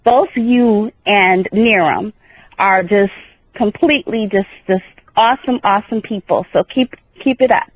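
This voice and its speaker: A soft-spoken woman with a light voice leaving a voicemail, praising the hosts with a few halting fillers. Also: mid-range voice, dry recording. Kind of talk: voicemail message. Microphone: phone voicemail. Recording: dull, dry voicemail line.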